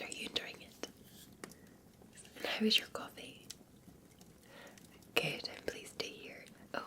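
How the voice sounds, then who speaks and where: A Scottish woman speaking in a soft, breathy whisper, gently and soothingly chatting to the listener as a barista in an ASMR roleplay. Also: a little high. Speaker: ASMR performer playing a cafe barista. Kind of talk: ASMR roleplay, unscripted-sounding chat to the listener.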